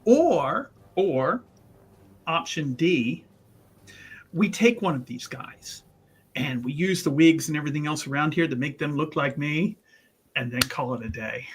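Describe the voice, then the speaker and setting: Guy playing a tabletop rpg, high husky voice, casual joking chat. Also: unhurried. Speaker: player in a tabletop game.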